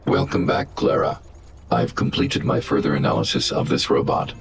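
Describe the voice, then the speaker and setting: A man's voice: a bass voice, steady tone, gravelly. A man voicing a large, stern, protective robot in a video game, speaking in a formal, coldly logical, analytical way. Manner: formal, analytical, measured, stating probabilities. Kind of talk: video game character dialogue. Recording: game music behind the voice. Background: game music.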